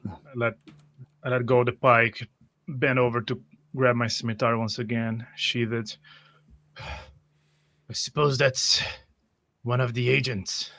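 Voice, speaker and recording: A man with a medium-pitched voice takes part in a tabletop roleplaying game. He states his character's actions slowly, then slips into in-character lines. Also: level tone, bright, forceful tone.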